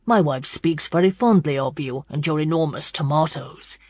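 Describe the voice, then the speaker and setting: A woman's voice: low voice, normal speed, a little mellow, no echo, clean studio sound. A woman voicing a charming male marquis, gallant, formal and playful, in an audiobook romance. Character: charming, genial tall aristocratic husband, a marquis.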